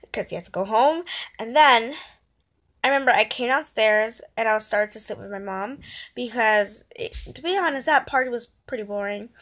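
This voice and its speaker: High-voiced young girl storytelling. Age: child or young teen. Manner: animated, dramatic storytelling with mimicked reactions. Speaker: vlogger. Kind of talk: casual storytime vlog chat. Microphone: phone at arm's length.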